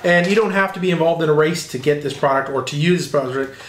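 Higher-voiced man hosting in a roomy workshop. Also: fast talker. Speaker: interviewer, host. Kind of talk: casual product interview. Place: indoor workshop with hard walls. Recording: roomy echo, faint background noise, clicks.

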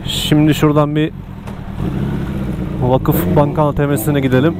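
A man's voice: a little high, little pitch movement, a little slow, heavy background noise. A man talking casually to viewers while riding a motorcycle through city streets. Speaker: motovlogger.

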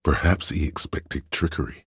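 A man voicing a detective's cool, cerebral inner voice of logic in a video game, measured, condescending and dryly analytical, with a gravelly, husky tone. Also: a bass voice, fairly even intonation, no echo, studio quality, heavily acted. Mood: cool, deductive. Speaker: voice actor. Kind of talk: scripted game narration.

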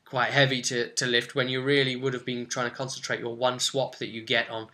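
A man's voice: mid-range voice, level tone, a little fast, bright, forceful tone. A man in his twenties giving an informal explanatory tutorial on tabletop roleplaying, talking to camera at home. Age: 20s. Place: small furnished room at home, indoors.

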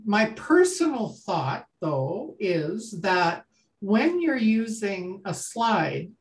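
A woman's voice: mid-range voice, sing-song, extremely slow. Older woman leading a workshop. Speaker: workshop leader. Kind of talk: informal workshop talk on a video call. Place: small home room, indoors. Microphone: video call computer mic.

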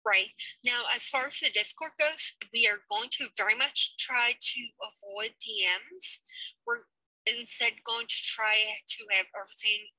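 A woman's voice: on the high side, expressive, normal speed, bright, forceful tone, no room sound, poor audio. A young American woman leading a fan project, chatting casually over a headset in a small room with loose fillers. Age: young adult. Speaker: project lead of a fan dub. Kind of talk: casual video call update.

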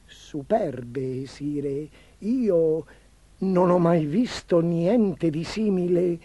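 A timid and obsequious storybook chancellor, played by a man, with a soft-edged, husky voice and mellow tone. He is hesitant and anxious, always deferring to his master. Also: fairly high pitch, extremely slow, dry recording, heavily acted. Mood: trembling, obsequious. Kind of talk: theatrical children's storybook acting.

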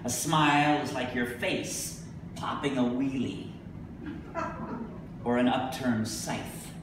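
A middle-aged man giving a live poetry reading, speaking deliberately with emphatic pauses. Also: medium pitch, steady tone. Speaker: poet. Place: indoor venue with a staircase, live reading. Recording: echoey room from a distance, noisy.

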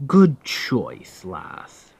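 A man playing a stern, commanding pirate captain with gruff protectiveness in an ASMR roleplay, speaking deliberately and with authority. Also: huge pitch swings, darkish tone, no echo, heavily acted. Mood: stern, pragmatic. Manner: slow, deliberate, authoritative, phrases trailing low.

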